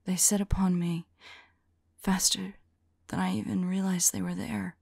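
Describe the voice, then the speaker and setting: A woman playing a remorseful, lovelorn female vampire in an ASMR roleplay, whispering softly and tenderly in a halting, intimate monologue. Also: on the low side, little pitch movement, no room sound, clean studio sound, exaggerated voice. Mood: haunted, sorrowful. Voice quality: soft, breathy whisper. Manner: slow, halting, tender delivery.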